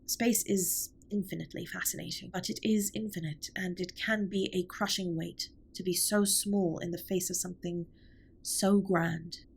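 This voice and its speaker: A woman playing a formal, caring alien spaceship captain in an intimate audio drama, speaking in a measured, precise way with a breathy, husky voice. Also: on the low side, steady tone. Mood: thoughtful, solemn. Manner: formal, measured, precise wording with few contractions. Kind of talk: scripted roleplay audio drama, intimate comfort monologue.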